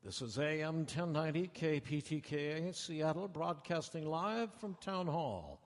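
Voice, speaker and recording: A man's voice: a little high, expressive, measured pace. A man announcing a live radio drama in a 1930s broadcast style. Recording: echoey hall with music behind. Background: music.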